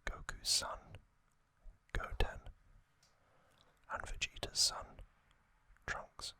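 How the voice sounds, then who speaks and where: An ASMR host whispering a gentle, measured recap of a story, softly and without voice. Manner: soft unvoiced whisper throughout.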